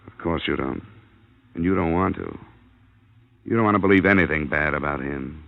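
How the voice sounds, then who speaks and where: An American actor playing a tough, cynical insurance investigator in a radio crime drama, with a clipped, blunt delivery. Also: male voice, rumbling low, normal speed, gravelly. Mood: hard, accusing. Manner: clipped, hard-boiled, blunt. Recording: dull vintage radio recording.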